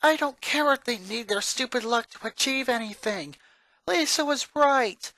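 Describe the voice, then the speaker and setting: A very high, sharp-edged young schoolboy voice, acted by a man. He is whiny and put-upon, an exasperated, anxious cartoon kid who trips over words when nervous. The sound is dry and close. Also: moderate pace, no echo, exaggerated voice. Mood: grumbling, fed up. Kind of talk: dramatic fan fiction reading.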